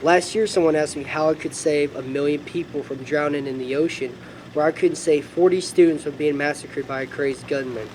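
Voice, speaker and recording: A man's voice: fairly high pitch, a little fast, darkish tone, a little hiss or hum, lightly acted, poor audio. A man acting as God, a heartbroken, loving father with a sorrowful, reflective manner in film dialogue.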